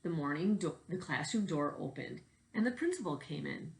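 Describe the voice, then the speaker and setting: Low-voiced woman reading a storybook aloud. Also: expressive, normal speed, gravelly, a little mellow, rough recording.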